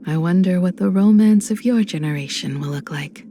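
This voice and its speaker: An actress voicing a composed adult woman space traveler in a video game, a warm mentor who speaks in a measured, reflective, gently teasing way. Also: lowish voice, a little hiss or hum, natural voice. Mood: wistful. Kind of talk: video game character voice lines.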